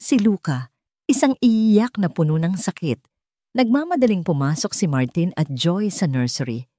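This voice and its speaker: A woman's voice: on the low side, big pitch swings, moderate pace, dark tone, no echo, clean studio sound. A woman with a Filipino accent reading a scripted, dramatic audio story as its narrator.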